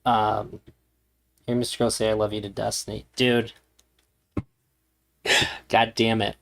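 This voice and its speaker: A man hosting a livestream, giving casual commentary in a halting, rambling way. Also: fairly low pitch, level tone, creaky, fairly bright tone. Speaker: streamer host.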